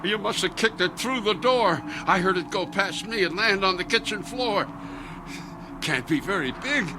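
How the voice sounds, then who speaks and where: An actor playing an anxious, protective husband in a radio drama, with a halting, stammering, urgent delivery. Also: male voice, sits high, animated, background noise. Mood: tense.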